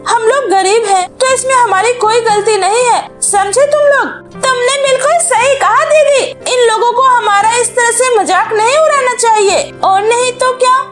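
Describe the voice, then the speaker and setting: Woman playing a very high-voiced earnest poor schoolgirl. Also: normal speed, few pauses, a bit bright, soft background music. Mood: indignant. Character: poor motherless schoolgirl, proud, earnest, wounded.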